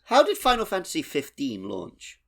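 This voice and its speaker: A man co-hosting a podcast, talking casually and emphatically in animated conversation. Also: a little high, normal speed, gravelly. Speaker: podcast co-host. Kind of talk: casual video game podcast discussion.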